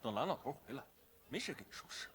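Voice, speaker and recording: Fast, scolding young man. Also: mid-range voice, big pitch swings. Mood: grumbling. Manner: sharp-tongued, grumbling. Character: gruff, scolding young male disciple. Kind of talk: animated drama voice acting.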